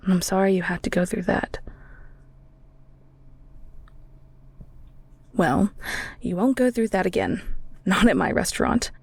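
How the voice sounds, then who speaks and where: A woman's voice: mid-range voice, lively intonation, normal speed, vocal fry, darkish tone, slightly rough, no echo. A woman playing a blunt, bossy restaurant chef in an audio roleplay, speaking straight to the listener. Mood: softened, firm. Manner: stern, exasperated, blunt. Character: bossy Filipino-American restaurant chef, secretly a ghoul.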